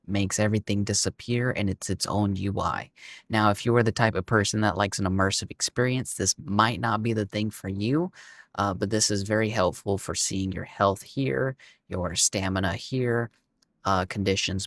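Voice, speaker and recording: A man with a hoarse, raspy voice from being sick, giving a relaxed, casual walkthrough of game add-ons and talking straight to his viewers. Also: lowish voice, no echo. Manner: relaxed, frequent "uh" and "um", addresses viewers as y'all. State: hoarse from illness. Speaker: gaming video creator.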